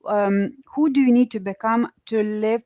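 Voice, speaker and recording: A woman's voice: fairly high pitch, fairly even intonation, moderate pace, dark tone, dry recording, highs cut off. Host, woman, Eastern European accent, loose conversational delivery, dry close sound. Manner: chatty, many restarts and fillers. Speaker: podcast host. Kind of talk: casual conversation.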